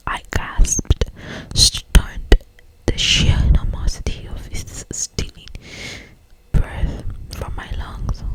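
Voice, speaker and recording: An ASMR host reading romance fiction aloud in a breathy, intimate whisper, with drawn-out phrasing. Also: rough recording.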